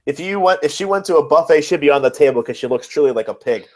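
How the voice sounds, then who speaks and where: A man's voice: fairly high pitch, lively intonation, quick pace. A man co-hosting a casual wrestling podcast, talking in a blunt, exasperated way with plenty of swearing. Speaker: podcast co-host.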